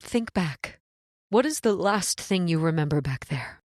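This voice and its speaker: A woman's voice: fairly low pitch, animated, unhurried, no echo, clean studio sound. A woman acting a solemn, fatalistic lost soul. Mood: ominous. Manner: solemn, portentous. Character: fatalistic stranded woman, ominous bearer of dreadful truth. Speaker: actress in a horror radio play. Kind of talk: old-time radio horror acting.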